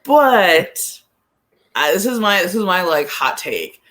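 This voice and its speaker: A podcast guest giving casual soccer commentary at a middling pitch. The delivery is conversational and a little hesitant, working thoughts out aloud with plenty of "like" along the way. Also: sing-song, takes it slowly, fairly bright tone. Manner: hesitant, thinking aloud, frequent use of "like".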